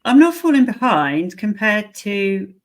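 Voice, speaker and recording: A woman's voice: medium pitch, very animated, measured pace. A woman co-hosting a casual podcast chat, blunt, confident and matter-of-fact. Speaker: podcast co-host.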